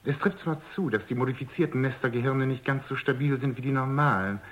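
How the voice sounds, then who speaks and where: A man's voice: medium pitch, fast, muffled recording, close to an everyday voice. An actor playing a defensive, condescending male scientist in a German radio drama. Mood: testy, defensive. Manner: condescending, stammers when flustered. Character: defensive, patronising male scientist, testy under questioning. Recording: echoey, reverberant radio drama recording.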